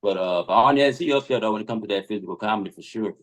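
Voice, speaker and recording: A man's voice: lowish voice, very fast. A man chatting casually as a podcast guest, often checking that listeners follow. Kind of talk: casual chat.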